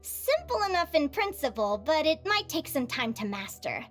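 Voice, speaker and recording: A high, lively young woman adventurer from a video game. She is cheerful and encouraging, with swooping, expressive intonation, and music plays faintly behind her. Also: moderate pace. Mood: instructive. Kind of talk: animated video game voice acting.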